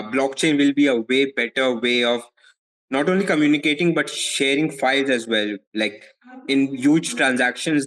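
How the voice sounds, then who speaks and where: A young man from India with an Indian accent, answering interview questions as a legal advisor in a measured way, often repeating words as he thinks. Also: mid-range voice, a bit bright, low-quality recording. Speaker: foreign legal advisor, guest.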